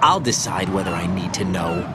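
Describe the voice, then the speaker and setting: An actor playing an earnest young soldier in a video game, urgent and questioning in a military manner. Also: male voice, deep voice, lively intonation, normal speed, noisy background. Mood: suspicious, insistent. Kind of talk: dramatic video game voice acting.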